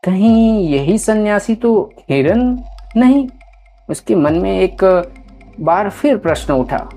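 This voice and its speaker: A woman's voice: mid-range voice, lively intonation, slow, dark tone. A woman storyteller reading scripted horror story narration. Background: music.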